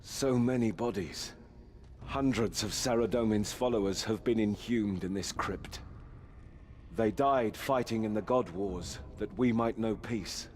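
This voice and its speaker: A man's voice: lowish voice, measured pace, a little mellow, a little hiss or hum, natural voice. A man playing a proud, pious, chivalrous knight, speaking in a formal, courtly way in fantasy game dialogue. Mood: solemn, indignant. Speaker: game character. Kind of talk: voice-acted fantasy quest dialogue.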